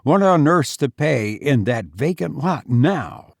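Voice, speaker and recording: A man's voice: on the high side, big pitch swings, takes it slowly, gravelly, dry recording, studio quality, voice pushed up, exaggerated voice. A man voicing a shaken son in a storybook who feigns unconcern while controlling an unsteady voice. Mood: nervous, feigned calm. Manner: trying to control a shaky voice.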